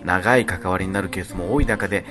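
A man's voice: low voice, quick pace. A man speaking politely and conversationally as a radio show's leader and commentator. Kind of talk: radio talk and explanation. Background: faint music.

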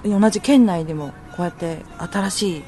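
A woman's voice: lowish voice, normal speed, dark tone, slight background noise. A woman hosting a casual radio talk, chatting with a co-host. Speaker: radio show host, navigator. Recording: faint music behind the talk. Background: faint background music.

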